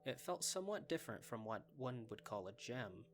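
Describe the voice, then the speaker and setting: A man with a medium-pitched voice reads scripted story narration as the narrator of an audio drama. Faint music plays behind him. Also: sing-song, brisk.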